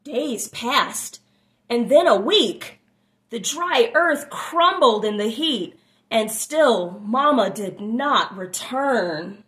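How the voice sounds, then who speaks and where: A woman reading a children's picture book aloud with expressive, drawn-out phrasing and little asides to listeners. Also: fairly high pitch. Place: small room at home, indoors.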